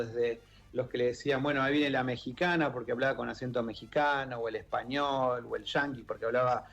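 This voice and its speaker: Soft-spoken Argentine man on radio. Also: mid-range voice, lo-fi sound. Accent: Rioplatense Spanish. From born in Italy, raised in Argentina. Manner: thoughtful, many fillers and restarts. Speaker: guest, author and member of an exile-children group. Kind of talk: radio interview. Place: radio studio.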